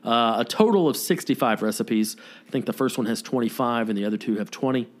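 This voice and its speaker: A man's voice: mid-range voice, animated, brisk. A man hosting a podcast in a casual, folksy, slangy way. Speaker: podcast host. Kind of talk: casual chat.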